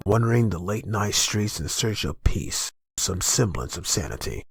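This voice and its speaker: A man reading dramatic scripted narration for a comic dub, with a husky, gravelly voice. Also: on the low side, expressive, normal speed, dry recording.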